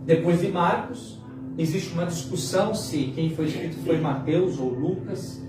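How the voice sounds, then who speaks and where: A Brazilian pastor in his late fifties delivers a sermon in a fairly high male voice. He pauses dramatically and repeats phrases to drive them in. The sound is heavily echoey and distant from the mic, with music clearly behind him. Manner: dramatic pauses and repetition for emphasis.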